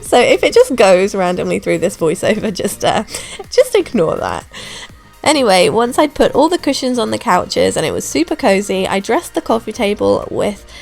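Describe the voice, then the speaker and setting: A young woman with a hoarse voice from a throat infection, narrating her home renovation vlog in a chatty, relaxed way. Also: medium pitch, moderate pace. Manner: chatty, relaxed, self-deprecating. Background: soft background music.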